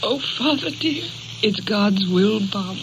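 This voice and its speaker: A woman's voice: medium pitch, expressive, slow pace. An actress playing a tender, mourning mother, sorrowful and theatrical in 1930s radio drama style. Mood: gently consoling. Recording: muffled, noisy old 1930s radio recording.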